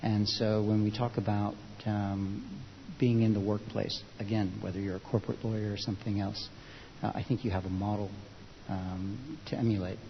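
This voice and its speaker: A man speaking to a group in a thoughtful, unscripted way, with a measured, halting, reflective manner, recorded in a large reverberant room. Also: fairly low pitch, steady tone, creaky. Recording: dull, hissy, echoey hall recording.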